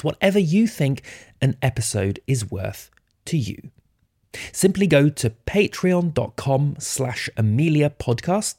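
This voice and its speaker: A man's voice: mid-range voice, a little mellow, dry recording, clean studio sound. A man hosting a podcast, reading a scripted introduction in a friendly way with emphasis on key phrases. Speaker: podcast host.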